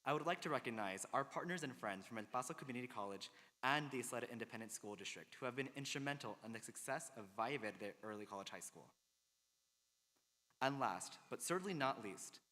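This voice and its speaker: A man's voice: mid-range voice, sharp tone. A man making formal announcements and introductions as the announcer at a ceremony. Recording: noisy, echoing hall PA.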